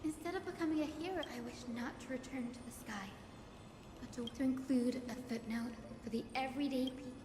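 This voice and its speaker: An actress playing a gentle, earnest young woman in a video game, with a polite and sweet manner. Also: high-pitched, brisk, background noise, lightly acted. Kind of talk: dramatic video game voice acting. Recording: echoey reverb with music behind.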